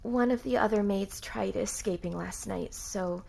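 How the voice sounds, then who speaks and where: Meek servant girl played by a woman, hesitant, deferential, creaky edge, faint hiss behind, audio roleplay. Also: medium pitch, a little slow, warm tone. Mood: nervous, subdued. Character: meek, apologetic enslaved young maid. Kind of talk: scripted roleplay acting.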